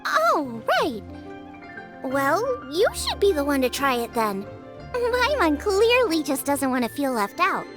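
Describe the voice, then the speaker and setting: A cheerful, earnest young girl chef in a video game. The girlish voice is extremely high and lively, eager and expressive, with music clearly playing behind. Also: measured pace, heavily acted. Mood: puzzled.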